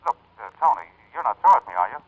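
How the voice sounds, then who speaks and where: An actor playing a smooth-talking, insistent man coaxing a sweetheart, in 1940s radio style on a reverberant vintage recording. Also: medium pitch, very animated, very fast. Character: smooth-talking, insistent male suitor phoning from a bar.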